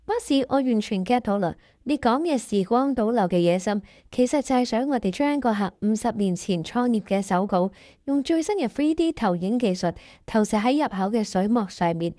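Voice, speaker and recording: A woman's voice: on the high side, animated, moderate pace, warm tone, no room sound, studio quality, lightly acted. A woman playing an eager, anxious junior employee who also presents a comedic workplace radio drama. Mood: confident pitching.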